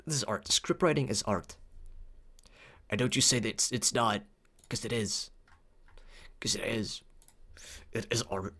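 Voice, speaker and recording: A man's voice: fairly low pitch, a little slow, pauses often, pushed and bright. A man chatting casually on a livestream in a playful, cutesy, rambling way, often repeating himself. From Philippines. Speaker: VTuber livestreamer.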